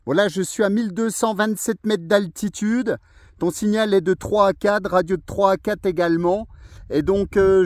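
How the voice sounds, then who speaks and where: A chatty man makes a portable ham radio contact from an outdoor summit, talking freely with many fillers. His voice sits high for a man. The sound is close and dry. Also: dry recording. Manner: chatty, friendly, frequent fillers. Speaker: radio amateur operating outdoors. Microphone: portable radio, filming a video.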